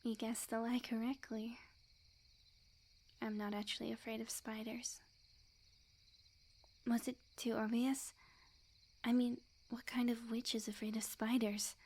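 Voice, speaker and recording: Woman acting a sweet, breathy, high-voiced lovesick witch. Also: little pitch movement, a little slow, long pauses. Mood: resigned. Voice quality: soft, breathy, often near-whisper. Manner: intimate, coy, earnest. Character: sweet, lovesick, clingy young yandere witch. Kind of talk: ASMR roleplay voice acting.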